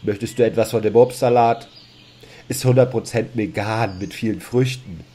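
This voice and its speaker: A man's voice: on the low side, moderate pace, warm tone, lightly acted. A man acting a sulky, doubting Adam in a comedic audio drama. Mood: exasperated. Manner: whiny, exasperated. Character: Adam, a sleepy, grumbling, doubting first man.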